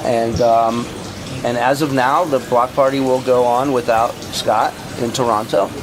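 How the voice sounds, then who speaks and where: A man talks casually and bluntly, pressing his points in an unscripted dispute. His voice sits at a medium pitch, with clear noise behind it. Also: steady tone, unhurried. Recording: clearly noisy, ambient rumble.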